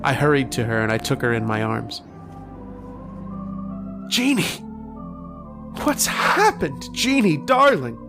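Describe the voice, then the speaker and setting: An actor playing a haunted, anguished man telling his story in a halting, dramatic old-time radio horror style. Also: mid-range voice, huge pitch swings, over music, exaggerated voice. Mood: frantic, pleading. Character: haunted, anguished man recounting his nightmares and lost love.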